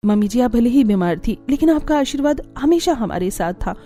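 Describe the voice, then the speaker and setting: A woman acts as a devoted young daughter-in-law in a cartoon tale. Her voice is high but soft and mellow, with an earnest, respectful way of speaking over faint music. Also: animated, brisk. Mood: affectionate. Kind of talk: cartoon story dialogue.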